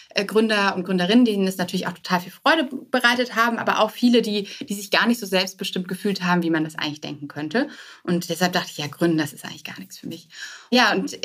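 A woman in her thirties speaks as a guest on a podcast. Her medium-pitched voice carries a casual, conversational story, informally sprinkled with English business terms. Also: a bit bright. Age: 30s. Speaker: podcast guest, startup founder.

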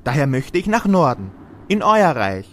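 Lively, higher-voiced, well-spoken snowman. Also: normal speed. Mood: earnest. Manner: well-spoken, formal, earnest phrasing. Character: friendly, naive snowman fleeing the spring. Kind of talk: German fairy-tale radio play acting.

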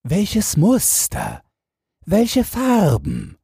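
A man performs a timid, flattering courtier from a storybook. The voice leaps well up high and lingers slowly on each admiring word, husky and gushing. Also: dry recording, studio quality, exaggerated voice. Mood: gushing admiration. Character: fawning, gushing royal courtiers, vain and timid. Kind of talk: fairy-tale audiobook character voice.

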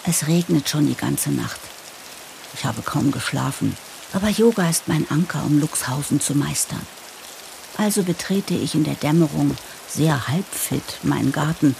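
A woman's voice: deep voice, expressive, normal speed, creaky, darkish tone, slight background noise, heavily acted. A woman playing a harried, idealistic new managing director who narrates an audio drama in a wry, confiding way. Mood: wry, weary. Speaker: narrator and lead character.

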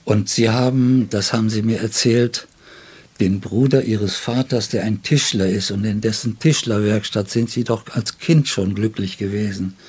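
An older man, a writer, reminisces in an interview in a deliberate, anecdotal way with long, detailed sentences. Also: on the low side, fairly even intonation, darkish tone, husky. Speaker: writer and literary editor being interviewed.

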